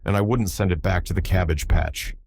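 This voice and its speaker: A man voicing a dreamy, gentle little girl in an audiobook story, with a wistful, murmuring manner. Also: sits low, a little fast, gravelly, breathy edge, no room sound, clean studio sound, heavily acted.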